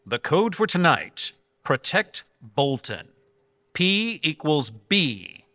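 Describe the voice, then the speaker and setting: Guy doing old radio adventure hero, brisk commands, lively highish voice, live in a hall. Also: exaggerated voice. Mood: commanding, urgent. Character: commanding, decisive adventurer hero leading his young team. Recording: live stage performance in reverberant hall.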